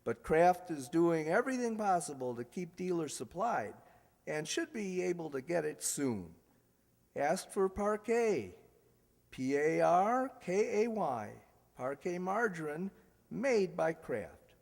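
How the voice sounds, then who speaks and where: High-pitched man announcing in an echoey hall. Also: sing-song, takes it slowly, gravelly. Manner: jokey, ad-libbing. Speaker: announcer and host. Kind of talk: live old-time radio show announcing. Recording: echoey hall with background hiss.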